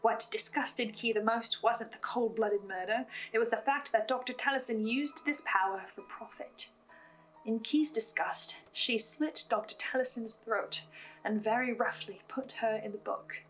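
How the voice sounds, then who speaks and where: A woman's voice: a little high, measured pace, a bit bright, poor audio. A woman narrating a scripted recap as the host of an analysis video, with an earnest, investigative manner. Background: music bed.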